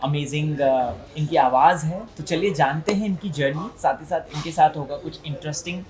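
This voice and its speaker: A man's voice: a little high, normal speed, music in the background. A man with an Indian accent hosting a conversational interview, putting questions to his guest in a deferential way. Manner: deferential, often addressing the guest respectfully. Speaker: interviewer and host.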